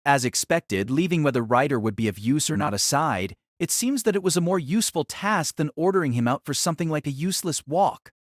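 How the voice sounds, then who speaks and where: Higher-pitched male novel narrator. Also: lively intonation, a little fast, few pauses, no echo, studio quality.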